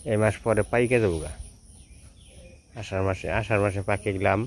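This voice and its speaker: A man's voice: on the low side, fairly even intonation, brisk, a little hiss or hum. A man chatting casually behind the camera while he films village work outdoors, asking questions as he goes. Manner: chatty, asks relatives questions. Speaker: vlogger filming village work.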